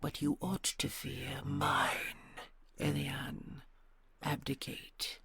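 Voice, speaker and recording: A woman playing a commanding, dryly teasing she-dragon in a fantasy audio roleplay, with a gravelly, breathy growl. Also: rumbling low, little pitch movement, fairly bright tone, dry recording, whispered, full character voice. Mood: menacing. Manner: imperious, slow, theatrical.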